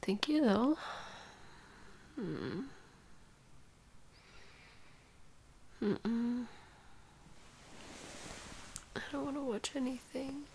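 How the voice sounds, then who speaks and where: A woman acting as a sick, sleepy girlfriend in an intimate audio roleplay. She talks very slowly and softly, with a crackly fry in her voice, halting and clingy as she seeks comfort. Some noise sits behind her voice. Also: fairly high pitch, lively intonation. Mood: sleepy, affectionate. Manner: soft, drowsy, halting, often whispery.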